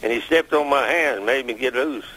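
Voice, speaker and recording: A man's voice: mid-range voice, brisk, fairly bright tone. A man in his nineties with a Southern US accent, recalling events in a plain-spoken phone interview. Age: 90s. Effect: phone call. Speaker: war veteran interviewee. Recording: telephone interview line.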